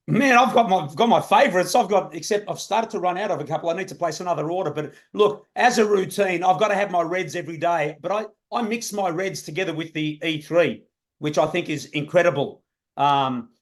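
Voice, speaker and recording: A man's voice: high-pitched, brisk. A man on a video call, chatting casually and rambling a little with hesitations. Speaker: guest affiliate.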